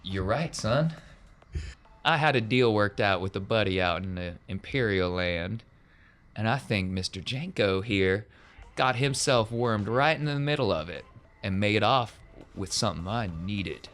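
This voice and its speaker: Man playing a gruff, folksy, combative crime boss. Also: on the low side, animated, measured pace, fairly bright tone. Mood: simmering anger.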